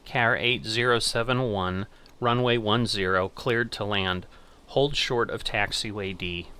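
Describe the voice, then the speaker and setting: A middle-aged man giving casual gameplay commentary and controller commands, thinking aloud in an unhurried way. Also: fairly low pitch, bright, forceful tone, clear, resonant tone. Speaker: gaming channel host playing air traffic controller.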